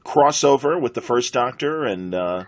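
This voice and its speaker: A middle-aged man with an American accent and a husky voice, chatting conversationally as a podcast host. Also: medium pitch, lively intonation, normal speed. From United States.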